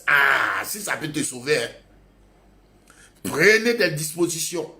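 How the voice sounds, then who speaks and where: A man's voice: a little high, big pitch swings, takes it slowly, creaky, bright, forceful tone. A male pastor preaching a sermon, urging his congregation with emphatic, repetitive exhortations.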